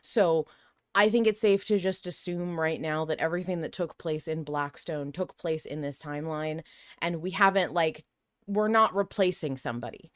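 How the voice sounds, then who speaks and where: A woman's voice: deep voice, moderate pace, no room sound, clean studio sound. A woman hosting a podcast, chatting casually and rephrasing as she goes. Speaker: podcast host. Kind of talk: casual discussion of a fiction podcast.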